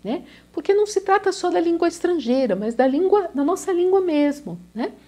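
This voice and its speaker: A woman's voice: very high-pitched, expressive, brisk, mellow. A Brazilian woman with a São Paulo accent, a language teacher talking casually to camera, often ending phrases with a questioning tag. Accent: São Paulo Brazilian Portuguese. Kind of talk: casual video lesson to camera.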